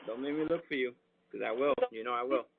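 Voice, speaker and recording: Fast-talking middle-aged man, tinny intercom. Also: medium pitch. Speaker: father questioning his daughter's date over an intercom. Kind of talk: casual conversation. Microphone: doorbell intercom call. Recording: phone-like doorbell intercom audio, clear background noise.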